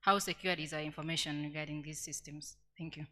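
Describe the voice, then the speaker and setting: Female delegate, Tanzanian accent, forceful tone, lower register, formal question from the audience. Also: steady tone, fairly quick. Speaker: audience member asking a question. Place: conference hall.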